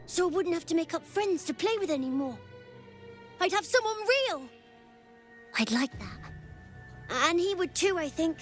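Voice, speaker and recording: High-voiced, lonely spirit child. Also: female voice, expressive, moderate pace, long pauses, soft background music. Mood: wistful, resolving. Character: lonely, wistful child spirit, solemn and stubborn. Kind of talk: video game character dialogue.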